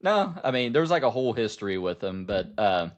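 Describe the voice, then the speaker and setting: A man with a fairly low voice talks casually as a podcast co-host. He rambles and halts as he goes, feeling his way through each thought in loose conversation. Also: sing-song, fairly quick, dull audio. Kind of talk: casual chat about film and TV.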